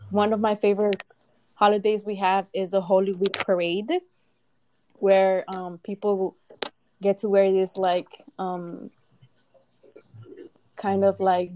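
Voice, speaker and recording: A woman's voice: mid-range voice, a little mellow, slight background noise, poor audio. A young woman from Guatemala co-hosting a casual podcast chat, hesitant with lots of fillers and curious questions. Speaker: podcast co-host.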